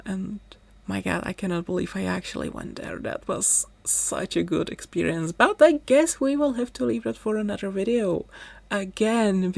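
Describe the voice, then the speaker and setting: A woman in a casual late-night voice-over, her voice tired and going hoarse. She talks slowly in a gravelly, lowish voice, rambling and correcting herself with little laughs. The recording is close and dry. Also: darkish tone, no room sound. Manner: chatty, rambling, self-correcting with small laughs. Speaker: Sims content creator.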